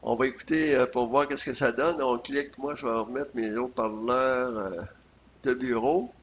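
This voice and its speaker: A man's voice: medium pitch, steady tone, moderate pace. Quebec guy doing a screen tutorial, kinda hesitant, step by step, bit of background noise. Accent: Quebec French. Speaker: software tutorial presenter. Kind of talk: casual screencast tutorial narration. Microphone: USB computer microphone.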